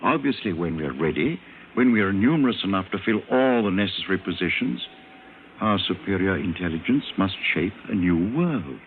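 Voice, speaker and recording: A man playing a calm, condescending doctor of a superior evolved race, lecturing deliberately in an old-time radio drama. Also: low voice. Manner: lofty, didactic, deliberate. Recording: noisy, narrow-band old radio recording.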